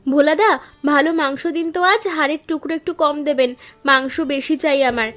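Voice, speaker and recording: A woman's voice: sits high, a little fast, a bit bright, faint music behind. Woman voicing a polite elder daughter-in-law. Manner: polite, eager, respectful. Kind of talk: cartoon voice acting.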